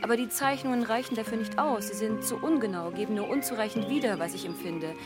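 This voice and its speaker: Young female dreamer played by an actress, radio drama. Higher voice, headstrong yet halting, clear music underneath. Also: close to an everyday voice. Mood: searching, frustrated. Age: young adult. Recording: music behind the voice.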